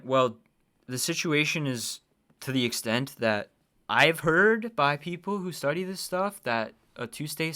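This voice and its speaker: A man with a bright, forward voice at an average pitch talks casually on a livestream. He gives opinionated reaction commentary and is blunt about it, swearing freely. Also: clean tone.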